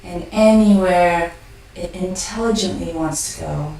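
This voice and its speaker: A woman leading a guided meditation, speaking gently and unhurriedly in short phrases with pauses between them. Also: fairly low pitch, gravelly, slight background noise. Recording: very echoey room, distant mic.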